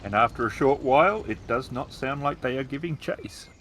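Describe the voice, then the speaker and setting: A man's voice: mid-range voice, normal speed, fairly bright tone, a little hiss or hum. A man narrating a tabletop roleplaying game as its game master.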